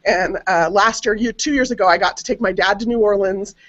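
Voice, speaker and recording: A woman's voice: medium pitch, a little fast, a bit bright. Middle-aged American woman, dull call audio. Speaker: panelist on a genealogy web show. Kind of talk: casual personal storytelling. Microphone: webcam video call.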